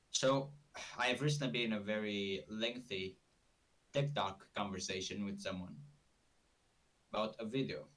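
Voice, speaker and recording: A man's voice: lowish voice, sharp tone. A man from Romania, hosting a casual live stream in a rambling, self-correcting way, a bit far from the mic. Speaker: streamer host.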